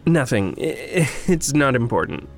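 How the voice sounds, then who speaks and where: An actor playing a troubled, self-blaming mage in training, hesitant and polite, in a naturalistic audio drama. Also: male voice, medium pitch, sing-song, a little slow, creaky, soft background music. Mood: evasive.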